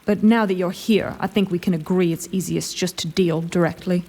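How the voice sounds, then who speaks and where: A woman's voice: on the low side, steady tone, normal speed, mellow, slightly rough. A woman playing an imperious, scheming lord commander in a fantasy audio drama, formal and silkily condescending. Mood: sweetly menacing.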